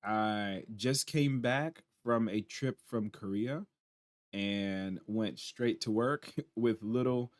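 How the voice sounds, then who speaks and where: A tired man with a hoarse voice, casually talking through game patch notes at his computer and reacting as he reads. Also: on the low side, slow pace, no echo. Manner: chatty, reacts aloud while reading on screen. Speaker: gaming channel host.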